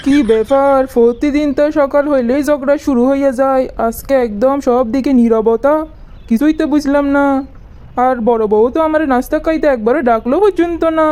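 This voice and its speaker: A woman's voice: high-pitched, fairly quick, dark tone, close to an everyday voice. A woman voicing a harried, pleading cartoon husband with a Bangladeshi accent, coaxing and complaining. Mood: exasperated. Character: harried, pleading husband of two quarrelling wives. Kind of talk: comic cartoon dialogue.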